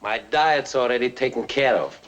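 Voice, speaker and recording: An actor playing a proud, bitter fugitive scientist with a scornful, theatrical manner, in the style of a 1950s film. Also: male voice, mid-range voice, expressive, normal speed, fairly bright tone, dull audio, close to an everyday voice. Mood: smug, defiant. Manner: theatrical, scornful, self-important. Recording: noisy old 1950s film soundtrack.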